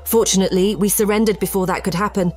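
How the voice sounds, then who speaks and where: A woman voices a proud personified jet fighter with dry, deadpan wit. Her pitch sits in an ordinary female range, in scripted drama dialogue over background music. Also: fast talker, lightly acted. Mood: somber.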